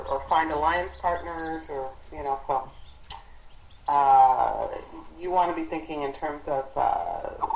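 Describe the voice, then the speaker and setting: A woman leading a team conference call as an instructor, explaining business matters in a halting, encouraging way as she thinks aloud. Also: low voice, slight background noise. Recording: roomy conference call audio.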